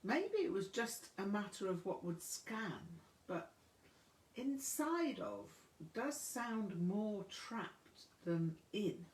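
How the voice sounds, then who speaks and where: Woman reading as a relaxed, witty young Englishman. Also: medium pitch, takes it slowly, echoey room, voice pushed up, low-quality recording. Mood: thoughtful. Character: relaxed, witty teenage English boy, charming music lover.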